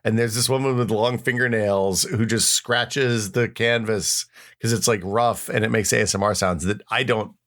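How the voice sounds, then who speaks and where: A man's voice: on the low side, steady tone, moderate pace, fairly bright tone. A middle-aged man co-hosting a podcast in casual chat, rambling with many restarts and goofy asides. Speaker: podcast co-host.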